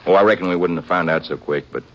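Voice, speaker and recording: Man playing deep, earnest cowboy, remorseful, firm, plain-spoken, 1950s radio western, dull noisy old recording. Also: fast, natural voice. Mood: resolute remorse. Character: remorseful, resolute cowboy standing up to gunman. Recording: dull vintage radio recording with faint noise.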